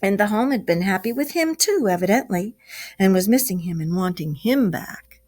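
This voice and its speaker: A woman teacher reading a children's story aloud at home. Her voice sits at a middle pitch, and she reads slowly and expressively, shaping each phrase for young listeners. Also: lively intonation, darkish tone.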